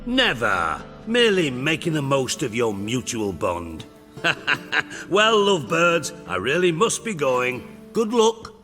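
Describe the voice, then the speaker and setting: An actor playing a cynical middle-aged spymaster in a video game, with a British accent and a mockingly polite, wry, profane way of talking. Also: male voice, on the high side, huge pitch swings, takes it slowly, bright, forceful tone, long reverb tail, soft background music, full character voice. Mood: smug. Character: cynical, shrewd, sarcastic male underworld spymaster, middle-aged.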